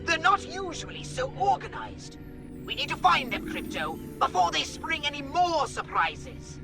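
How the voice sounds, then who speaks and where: An irritable old alien mentor from a video game. The voice sits very high and swoops around with formal, pedantic exasperation. Music plays clearly behind it. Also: male voice, big pitch swings, unhurried, full character voice. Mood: fussy, lecturing. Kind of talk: video game cutscene acting.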